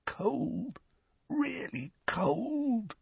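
Spoiled teen boy, shaken. High, hoarse, slow and halting; audiobook story. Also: very animated, no room sound, full character voice. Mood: shaky, miserable. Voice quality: hoarse, shaky, croaking. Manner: halting, trembling, broken phrases. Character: bullied-looking shaken spoiled teenage boy, dazed and whimpering.

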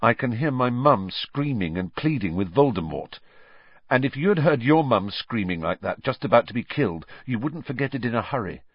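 A man's voice: lowish voice, sing-song, moderate pace, no echo, lo-fi sound, pitched above the performer's own voice. A man voicing a brooding, haunted teenage boy who speaks tightly and intensely.

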